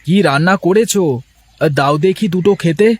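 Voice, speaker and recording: A man voicing a poor, excitable village fisherman in a folksy moral-story cartoon. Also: high-pitched, moderate pace, breathy edge. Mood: weary, plaintive.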